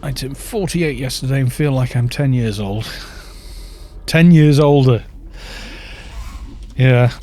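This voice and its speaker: A man's voice: mid-range voice, slow pace, pauses often, mellow. A British man in his fifties chatting in a rambling way while streaming a game and reading out viewer chat. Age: 50s. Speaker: gaming streamer.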